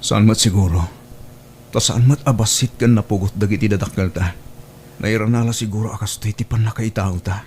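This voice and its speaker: An actor playing a scheming young suitor in an Ilocano audio drama, persuasive and conversational, with an Ilocano accent. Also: male voice, on the low side, steady tone, a little fast, a little mellow, a little hiss or hum, lightly acted. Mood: coaxing. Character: scheming young suitor, smooth-tongued gold digger.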